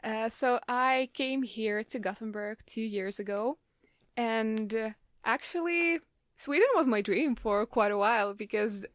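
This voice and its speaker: A woman's voice: on the high side, a little slow, dry recording, studio quality. A young woman introducing herself casually on a student podcast, enthusiastic and a little wistful. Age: young adult.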